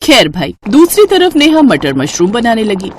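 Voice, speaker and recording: A woman reading scripted narration for an animated moral story. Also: on the high side, moderate pace, slight background noise.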